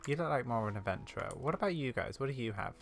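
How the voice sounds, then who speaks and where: Low-voiced woman chatting through a game. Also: lively intonation, racing, a little hiss or hum. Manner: musing, thinking aloud. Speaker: gamer commentating on a playthrough. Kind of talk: casual let's play chat.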